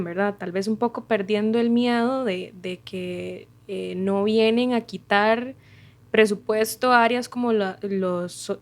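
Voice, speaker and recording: A young Costa Rican woman, a student interviewer, asking long, roundabout questions in a conversational, tentative way. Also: medium pitch. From Costa Rica.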